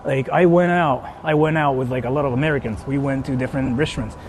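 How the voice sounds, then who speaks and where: A man's voice: mid-range voice, steady tone, fast, a little mellow. A man from Japan with a Japanese accent, streaming casually and rambling informally and bluntly as he answers viewers. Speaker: live streamer. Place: outdoors on a city street. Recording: noisy outdoor street, traffic ambience. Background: urban ambience and vehicles.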